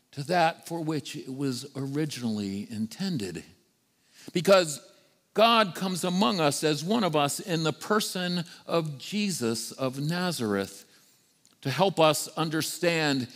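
Middle-aged man, priest giving a sermon, slightly high voice, slow measured delivery, emphatic phrasing. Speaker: Episcopal priest preaching. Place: church sanctuary, standing before the altar. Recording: reverberant church hall.

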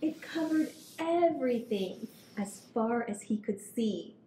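A woman in her thirties reading a picture book aloud to small children as a story hour host, with expressive, drawn-out phrasing. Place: small indoor room at a table. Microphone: headset. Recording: roomy echo, low rumbling noise.

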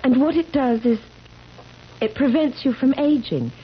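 An actress playing a solemn, insistent young wife who never ages, in 1970s radio drama. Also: female voice, high-pitched, expressive, a little slow. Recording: dull, noisy 1970s radio recording.

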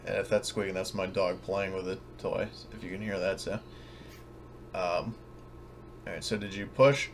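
A man narrating a tabletop game as game master in a casual, halting, self-correcting way. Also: low-pitched, steady tone. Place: at home, online voice session. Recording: clearly noisy home recording.

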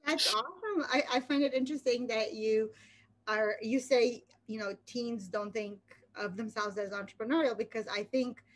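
A woman's voice: on the high side, normal speed. A middle-aged woman hosting a casual interview over a video call from home, chatty and encouraging with plenty of fillers. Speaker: interview host. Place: home room with slanted wooden ceiling. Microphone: laptop webcam on video call.

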